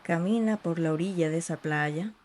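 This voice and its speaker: A meditation guide speaking in a soothing, unhurried way with long pauses between short phrases. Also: medium pitch, darkish tone. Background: ambient sea sounds.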